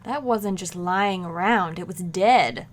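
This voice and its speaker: A woman's voice: lowish voice, slow. A woman playing a playful, nagging housewife in a 1940s-style radio comedy, with teasing, pointed delivery.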